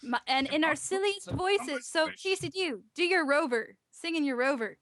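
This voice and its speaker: A woman's voice: sits high, animated, normal speed, a bit bright, dry recording, clean studio sound. A woman hosting a casual group chat over an online call, bossily steering everyone along. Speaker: podcast host.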